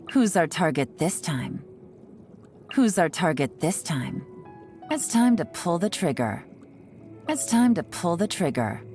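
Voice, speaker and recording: An actress playing a cool, sly young woman intelligence agent in a video game, composed and teasing in a knowing, unhurried way. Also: fairly low pitch. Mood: determined, cool. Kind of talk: video game character voice lines. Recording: studio voice over background music. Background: music.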